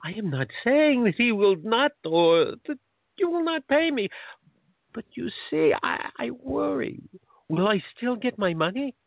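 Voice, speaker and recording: A man's voice: very high-pitched, big pitch swings, unhurried, creaky, no room sound, full character voice. A man playing a crafty, wary old settler in a radio play, with a hesitant, haggling manner. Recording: dry, tinny phone-like line.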